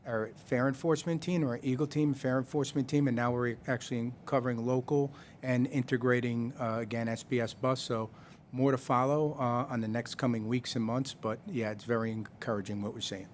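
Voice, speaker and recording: A man's voice: medium pitch, fairly even intonation, a little fast. Male transit official, meeting Q&A, friendly and loose, faint room noise. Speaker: transit official answering a board member. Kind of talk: answering a question at a public meeting. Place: boardroom meeting.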